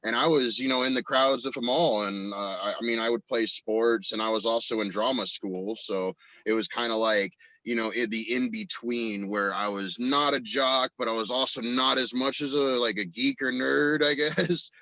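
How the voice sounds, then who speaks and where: A man's voice: medium pitch, steady tone, normal speed, hardly any pauses, sharp tone, no room sound, low-quality recording. A man in a casual chat as a guest, telling personal anecdotes in an informal, rambling way. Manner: informal and rambling, quotes people in acted snippets. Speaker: podcast guest, audio drama actor and writer.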